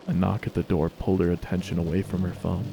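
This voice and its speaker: Deep gravelly male story narrator. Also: level tone, fast talker, over music. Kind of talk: dramatic fan fiction story reading.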